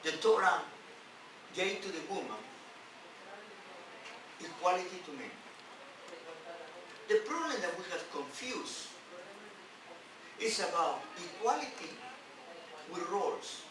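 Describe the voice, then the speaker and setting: A man who is a non-native English speaker, a rabbi giving an emphatic sermon in halting phrases with repeats and rhetorical questions. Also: high-pitched, expressive, a crowd in the background. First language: not English. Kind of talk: sermon on scripture. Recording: distant, very echoey, noisy room recording.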